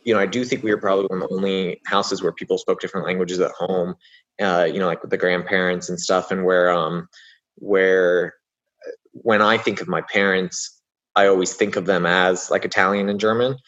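A man's voice: sits low, flat delivery, a little fast, a bit bright, lo-fi sound. A man raised in the United States, talking casually as an interview guest, rambling with many fillers and restarts.

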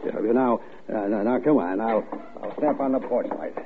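An actor playing a shifty, menacing neighbour with a halting, evasive manner in a 1950s radio drama. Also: male voice, lowish voice, phone-quality sound, lightly acted. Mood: nervous, evasive. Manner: hesitant, stammering, evasive. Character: shifty, menacing neighbour man hiding a guilty secret. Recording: thin, noisy old-time radio recording.

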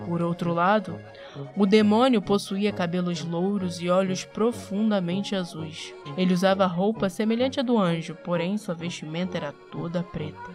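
A woman narrates an audio drama from a script. Her voice is on the low side. Music plays clearly behind her words.

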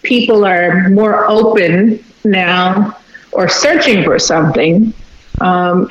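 A woman poet from Texas, a guest on a radio interview, speaking in a reflective, unhurried way with pauses. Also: fairly low pitch, reverberant, dull audio.

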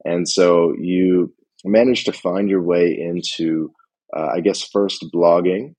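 Deep, mellow-voiced man interviewing. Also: steady tone, slow. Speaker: podcast host, interviewer. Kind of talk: casual conversational interview.

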